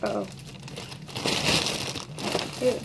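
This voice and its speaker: A young vlogger chatting casually in a giggly, exclaiming, slangy way, recorded on a webcam mic in a small bedroom. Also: very slow. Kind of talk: casual challenge vlog chat. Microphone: webcam or laptop mic at arm's length. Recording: noisy home recording with crinkling foil. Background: crinkling, crumpling foil balloon.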